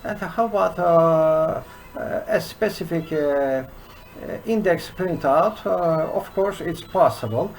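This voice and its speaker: A man in his sixties with a Turkish accent, teaching a programming lesson from his desk in a slow, halting way full of "uh" fillers. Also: a little high, gravelly. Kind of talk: screen-recorded coding lesson. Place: small room indoors.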